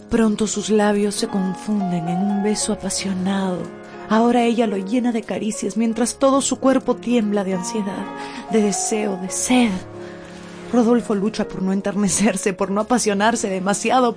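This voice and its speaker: A woman narrating a radio drama from a script in a theatrical, emotive storytelling manner. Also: mid-range voice.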